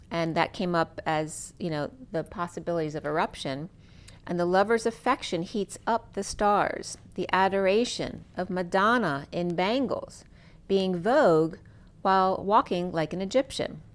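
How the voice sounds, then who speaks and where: Woman reading tarot, losing her voice. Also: lowish voice. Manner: dreamy, free-associating, slow and deliberate. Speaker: tarot reader. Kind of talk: spiritual tarot reading monologue.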